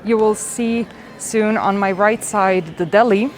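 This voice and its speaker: A woman's voice: medium pitch, steady tone, measured pace, slight background noise, low-quality recording. A woman, presenting a campus tour in an upbeat, welcoming manner as a student guide. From Serbia. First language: Serbian. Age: 20s. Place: university campus, outdoors and in large halls.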